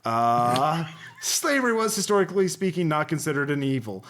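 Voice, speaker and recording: A man's voice: a little high, big pitch swings, normal speed, a bit bright. A man hosting a podcast, chatting casually and reading passages aloud with a wry, joking manner. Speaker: podcast host.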